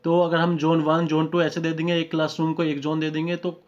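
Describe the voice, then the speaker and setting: An Indian-accented man with a fairly high voice works as a technical instructor, explaining point by point. He drops English technical terms into his sentences and keeps checking that the listener follows. The sound is dull and lo-fi. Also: monotone, brisk. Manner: repeatedly checks understanding with short tag phrases. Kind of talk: lesson, explaining slides step by step. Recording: dull lo-fi microphone recording.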